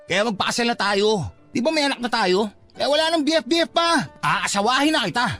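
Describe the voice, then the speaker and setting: A man's voice: very high-pitched, animated, brisk, bright, forceful tone, heavily acted. A man playing a cheeky, scheming young suitor, teasing and insistent, in a Filipino radio-style romance drama. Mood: insistent bargaining. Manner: teasing, wheedling, playful. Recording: music under the dialogue.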